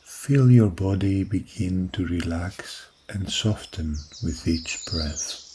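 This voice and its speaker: A man guiding a yoga nidra body scan in a soft, calm voice with long pauses. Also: low-pitched, a little hiss or hum. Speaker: meditation guide. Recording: birdsong clearly behind the voice. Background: recorded birdsong from woods.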